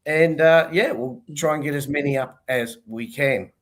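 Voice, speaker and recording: A man's voice: a little high, very animated, normal speed, a bit bright. An older man hosting a casual panel show over a video call, sounding nasal and throaty from a cold, chatty and jokey. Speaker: host.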